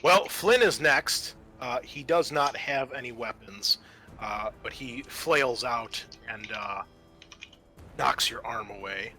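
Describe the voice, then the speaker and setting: A man's voice: mid-range voice, slow pace, pushed and bright. A man acting as game master, narrating and refereeing a tabletop roleplaying game.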